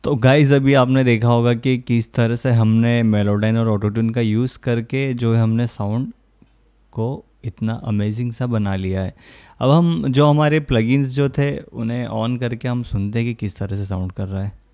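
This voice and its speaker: A young man hosts a casual music production tutorial in a mellow, smooth voice at a middle pitch. He explains step by step with frequent filler phrases, close on a studio mic. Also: moderate pace. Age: young adult. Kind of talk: casual software tutorial narration. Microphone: close studio condenser microphone.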